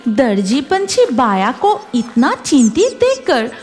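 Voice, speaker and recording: A woman's voice: sits high, sing-song, slow pace, a little mellow, soft background music. A woman narrating a scripted children's story as its storyteller.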